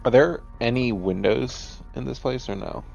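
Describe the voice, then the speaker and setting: Male tabletop player, casual and bemused, halting delivery, dull recording, faint music underneath. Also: medium pitch, gravelly, a little mellow. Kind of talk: casual in-character game chat.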